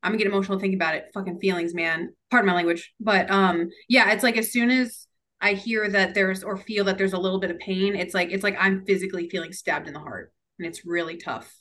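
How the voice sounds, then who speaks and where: A woman's voice: medium pitch, steady tone, fast talker, a bit bright. A young woman talking casually as a podcast guest, chatty and emotional, with many fillers. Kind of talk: casual chat. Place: San Diego.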